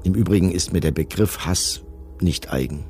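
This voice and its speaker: A man's voice: deep voice, normal speed, darkish tone, faint music behind, close to an everyday voice. An actor playing a cold camp commandant reading his testimony in a matter-of-fact, self-justifying manner. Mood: self-justifying, stern.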